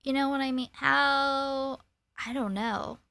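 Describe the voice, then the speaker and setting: A woman's voice: high voice, steady tone, slow, creaky, pushed and bright, no room sound. A woman hosting a live stream, chatting casually and meandering, with some casual swearing. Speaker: streamer host.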